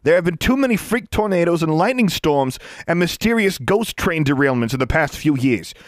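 Man putting on a shrill, blustering official. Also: expressive, normal speed, no room sound, clean studio sound, lightly acted. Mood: indignant. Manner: shouting, indignant, smug. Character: blustering middle-aged male government official, angry. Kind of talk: audiobook character voice.

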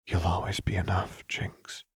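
A man's voice: low-pitched, little pitch movement, measured pace, breathy edge, no room sound, in a whisper, studio quality, full character voice. A man voicing a caring, worried young woman who is earnest and reassuring, in an audiobook. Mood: tender.

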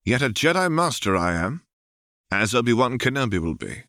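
A man reading the part of a world-weary, wry and resolute Jedi in his thirties for a storybook, in a measured, dry manner. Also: mid-range voice, big pitch swings, fairly bright tone, dry recording, pitched above the performer's own voice. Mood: level, tart.

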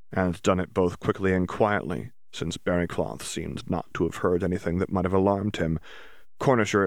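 A man reading a fan fiction audiobook aloud in an even, clear storytelling voice. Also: deep voice, no room sound, clean studio sound. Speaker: audiobook narrator.

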